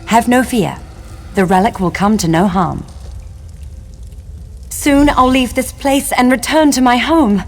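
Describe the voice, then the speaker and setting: A woman's voice: a little high, unhurried, long pauses, a little mellow. An actress playing a noble elven lady in a video game, long imprisoned and yearning for home, speaking in formal theatrical diction. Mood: solemn, hopeful. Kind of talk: video game character acting.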